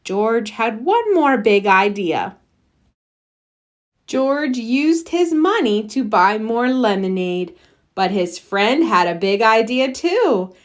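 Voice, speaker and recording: High-voiced woman reading a children's storybook expressively. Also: big pitch swings, slow pace. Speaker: storybook reader. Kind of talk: children's picture book read-aloud.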